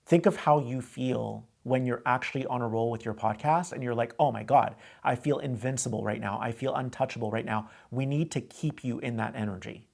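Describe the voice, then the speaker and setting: A man's voice: medium pitch, brisk, creaky, few pauses, a little mellow. A man hosting a call-in advice show, coaching a caller in a direct way and often repeating himself. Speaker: host giving advice.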